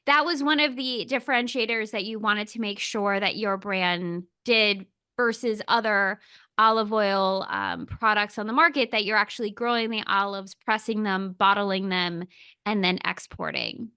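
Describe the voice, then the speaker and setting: A woman's voice: mid-range voice, fairly even intonation, normal speed, pushed and bright, clean tone. A woman hosting a podcast interview, speaking in an enthusiastic, upbeat way. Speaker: podcast host.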